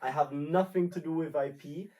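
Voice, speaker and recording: A man's voice: fairly high pitch, moderate pace, mellow, far from the mic. A man trainee telling his story in a roundtable, rambling and correcting himself as he goes. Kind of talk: casual roundtable chat.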